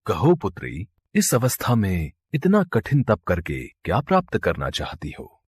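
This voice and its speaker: A man voicing a benevolent, fatherly god who makes calm, solemn pronouncements in a mythological story. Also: lowish voice, a little slow, breathy edge, no room sound, exaggerated voice. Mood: solemn, reassuring.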